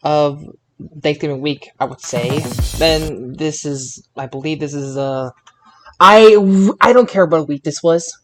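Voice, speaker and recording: A man hosting a casual wrestling podcast, speaking haltingly and repeating words as he searches for phrasing. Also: fairly high pitch, faint music behind. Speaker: podcast host.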